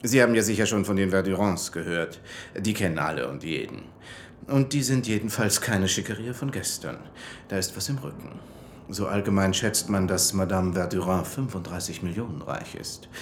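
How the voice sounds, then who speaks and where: An actor playing a pompous, chummy society doctor who boasts in colloquial, emphatic German radio drama style. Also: male voice, deep voice, moderate pace, a little hiss or hum, close to an everyday voice. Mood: self-satisfied boasting.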